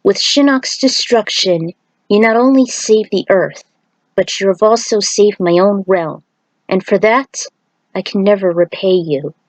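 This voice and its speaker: A woman's voice: mid-range voice, measured pace, no echo, close to an everyday voice, poor audio. A woman voicing a noble, earnest warrior princess in a story. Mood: grateful, hesitant.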